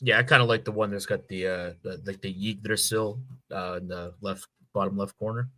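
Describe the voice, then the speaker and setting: A man co-hosting a podcast in casual fan discussion, halting and repeating words as he thinks. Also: fairly low pitch, steady tone, sharp tone. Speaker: podcast co-host.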